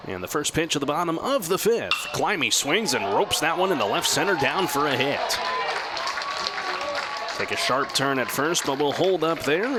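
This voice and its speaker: A man working as a radio announcer, giving baseball play-by-play commentary that moves between measured description and excited calls. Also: mid-range voice, noisy background.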